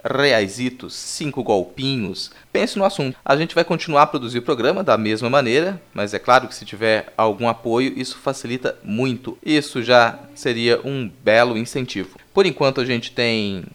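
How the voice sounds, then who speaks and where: A man's voice: mid-range voice, expressive, normal speed, few pauses, a bit bright. A man with a Brazilian accent hosting a podcast, talking in an informal, conversational way. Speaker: podcast host. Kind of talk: podcast sign-off and casual chat.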